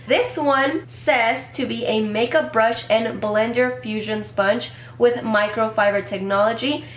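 A woman's voice: on the high side, a bit bright. A young woman in her twenties chatting casually to camera in a product review, a bit congested from a cold, upbeat and rambling with little self-corrections. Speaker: beauty vlogger. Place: small home room with decor shelves. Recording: echoey room with faint hum.

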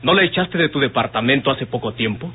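Measured teasing friend, husky voice, radio drama, thin old recording. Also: male voice, mid-range voice, expressive, phone-quality sound. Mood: wry, doubting. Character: calm, wryly amused male confidant reassuring a woman.

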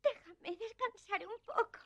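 Casting: fragile, fearful young girl for a cartoon dub, bright and very high, hesitant, dry sound. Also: a little slow, no echo, exaggerated voice. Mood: weary, wistful. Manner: hesitant, plaintive. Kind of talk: dubbed cartoon acting.

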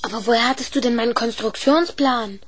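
Schoolboy inventor, played by a woman: high young voice, keen, practical, helpful, children's audio drama. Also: brisk, a crowd in the background, lightly acted. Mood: curious. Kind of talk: 1970s German children's radio play acting.

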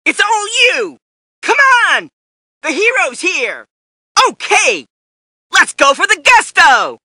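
An actor playing a cocky, excitable young male superhero in a fighting game, brash and showy with shouted catchphrases. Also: extremely high pitch, slow pace, dry recording, clean studio sound, heavily acted. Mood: battle-fired.

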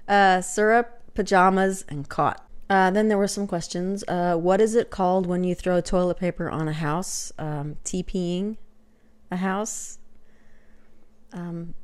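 A woman's voice: fairly low pitch, steady tone, a little slow, clean tone. A middle-aged woman with a light Texas accent talking casually into a webcam at home, chatty and self-deprecating, with lots of fillers. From Texas, USA. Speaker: vlogger. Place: small home room with shelves, indoors. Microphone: webcam at desk.

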